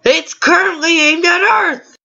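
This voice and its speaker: A woman's voice: very high-pitched, unhurried, gravelly, pushed and bright, highs cut off, full character voice. A woman voicing a bossy, exasperated space alien scientist in a cartoon comedy, scolding in stiffly formal, imperious speech. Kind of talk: animated comedy dialogue.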